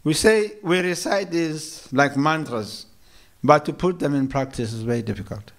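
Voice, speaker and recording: A man from South Africa giving a morning sermon in a temple, slow and measured, with hesitant restarts and gentle humor. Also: on the high side. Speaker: monk giving a morning lecture. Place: temple hall.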